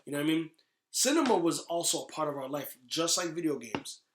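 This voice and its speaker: Echoey, higher-voiced man chatting casually. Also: animated, a little fast, fairly bright tone, slight background noise. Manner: opinionated, informal, profane. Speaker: podcast host. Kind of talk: casual chat about movies. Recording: roomy, echoey sound.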